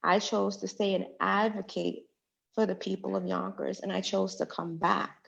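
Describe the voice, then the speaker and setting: An earnest woman lays out her case in a radio interview, stacking her points in repeated phrases. Her mid-pitched voice carries a gravelly fry, and the recording sounds dull and lo-fi. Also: flat delivery, moderate pace, fairly bright tone. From Jamaica, Queens, New York. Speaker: judicial candidate and public defender.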